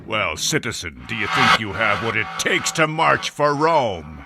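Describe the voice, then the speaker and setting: Proud roman recruiter, big rousing speech, wide pitch swings, music under it. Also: male voice, very animated, unhurried, vocal fry, full character voice. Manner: declamatory, rousing orator. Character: proud Roman army recruiter proclaiming to citizens. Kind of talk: dramatised audiobook acting.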